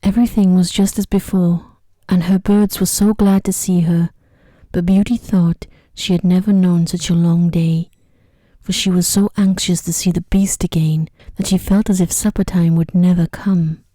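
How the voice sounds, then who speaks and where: A woman reads a bedtime story as soft ASMR narration. Her voice is lowish and extremely mellow, hushed and gentle with touches of whisper. The sound is close and dry. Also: flat delivery, moderate pace, no echo.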